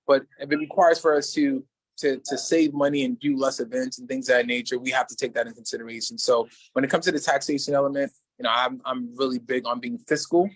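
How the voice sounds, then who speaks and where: A man's voice: little pitch movement, quick pace, breathy edge, dry recording, poor audio. A man running for mayor, answering interview questions in an earnest, repetitive way, often restarting his sentences, speaking from a small shop over a livestream. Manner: earnest, repetitive, salesman-like pitch with many restarts. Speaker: mayoral candidate. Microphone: livestream video call.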